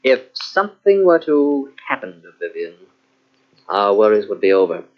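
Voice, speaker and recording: A man's voice: fairly low pitch, very animated, moderate pace. A man playing a scheming, coaxing young fortune hunter in an old radio drama. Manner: persuasive, coaxing endearments. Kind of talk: 1940s radio acting. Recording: dull, lo-fi old radio cassette.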